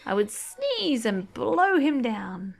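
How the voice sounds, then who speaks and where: Lady doing storytime for kids, high pitched voice, really swoopy and expressive. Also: vocal fry. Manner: animated, drawn-out key words, gentle questioning asides. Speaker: storybook reader reading to children. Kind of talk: expressive read-aloud of a rhyming children's book, with asides to children.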